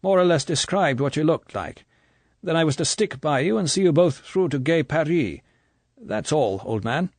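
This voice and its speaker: A burly, shy agent with a faint Irish brogue, as a male audiobook narrator puts him on. He speaks in short, clipped, chummy phrases, his voice lifted well above the reader's own low register. The recording is dry and close. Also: animated, moderate pace, a little mellow, dry recording. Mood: brusque. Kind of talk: audiobook character voice.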